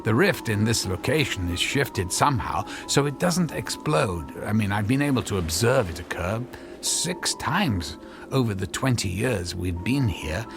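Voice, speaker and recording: An actor playing an obsessed, apologetic middle-aged scientist and husband in a radio drama, halting and repeating his words. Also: lowish voice, animated, gravelly, over music. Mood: earnest, intense.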